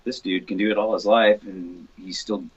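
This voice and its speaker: A man with a very low voice and a rough, husky grain. He talks casually and with slangy enthusiasm, like a band member in a group interview, on a dull lo-fi recording. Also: fairly even intonation, moderate pace. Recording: dull, muffled lo-fi sound.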